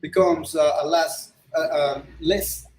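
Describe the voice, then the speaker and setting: A man's voice: a little high, steady tone, slow pace, darkish tone. A middle-aged man from Ecuador, a guest illustrator, chatting in a loose, rambling way with lots of restarts. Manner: rambling, many restarts and fillers. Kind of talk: casual interview chat. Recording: echoey room.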